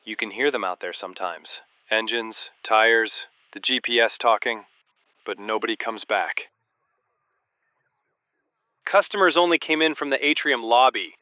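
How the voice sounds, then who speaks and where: Muffled, anxious trapped store clerk. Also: male voice, mid-range voice, sharp tone, smooth, dry recording. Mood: haunted, subdued. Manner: anxious, emotional, conversational. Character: desperate trapped male store clerk, increasingly unravelling. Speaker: store cashier. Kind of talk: audio drama voicemail monologue. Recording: muffled lo-fi voice message.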